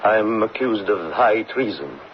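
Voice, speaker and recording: An actor playing a distraught, earnest condemned French army officer in a radio drama, speaking urgently. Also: male voice, lowish voice, animated, measured pace. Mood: anguished, bewildered. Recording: muffled old radio recording, faint noise.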